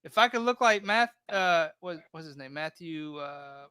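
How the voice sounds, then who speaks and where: A man's voice: fairly high pitch, animated, a little slow, bright, forceful tone, clear, resonant tone, no echo, clean studio sound. A man in his thirties hosting a casual livestream, rambling in a laid-back way and reading chat comments aloud. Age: 30s. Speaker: host of a diorama livestream.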